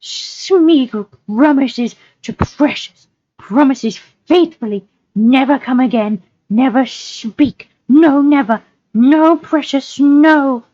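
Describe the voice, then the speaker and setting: A woman voicing a wretched, cringing creature in a storybook reading, whimpering and pleading in drawn-out, repetitive phrases. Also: sits high, dark tone, dull audio, pitched above the performer's own voice. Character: wretched whining creature, cringing, hungry and evasive. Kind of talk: audiobook-style character reading.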